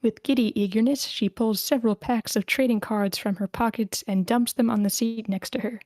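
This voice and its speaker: A woman's voice: on the high side, fairly even intonation, moderate pace, darkish tone, dry recording. A woman hosting a screenplay table read, switching between informal, encouraging chat and narration of stage directions. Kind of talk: casual hosting and script narration.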